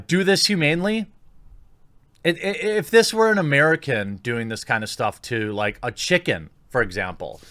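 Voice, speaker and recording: A male online commentator with a mid-pitched voice delivers indignant opinion commentary. He stresses his points with open disapproval, partly reading and partly improvising. The sound is dry, with very little room echo. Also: a bit bright. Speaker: YouTube commentator, host. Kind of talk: opinion commentary, part read-out and part off-the-cuff.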